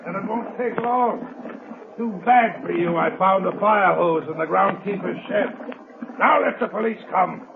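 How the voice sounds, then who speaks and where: Gravelly gloating villain. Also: male voice, sits high, measured pace, noisy background. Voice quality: gravelly, sneering. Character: ruthless gloating male swindler, cruel villain. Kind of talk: 1930s radio drama acting. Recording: tinny, echoey old 1930s radio broadcast.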